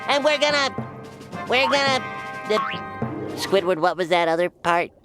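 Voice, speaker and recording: Cartoon sea sponge: very high voice, childlike, excitable, over loud music. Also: male voice, moderate pace. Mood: excited, defiant. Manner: eager, repeats himself insistently. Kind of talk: cartoon voice acting.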